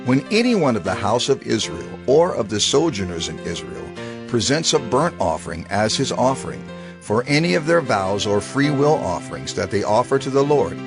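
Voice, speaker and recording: An actor playing a solemn, instructive reciter of divine law in a formal, measured dramatized scripture reading. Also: male voice, mid-range voice, sing-song. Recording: music under the voice. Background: music.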